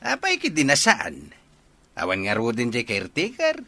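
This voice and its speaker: A man's voice: mid-range voice, huge pitch swings, normal speed, pushed and bright, no room sound, full character voice. A man playing a smug, scheming villain in a radio drama. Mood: gloating.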